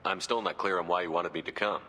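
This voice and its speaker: A man's voice: low voice, steady tone, fast, pushed and bright, a little hiss or hum. A man playing a stoic, terse military commander, the hero of a video game, with a businesslike delivery.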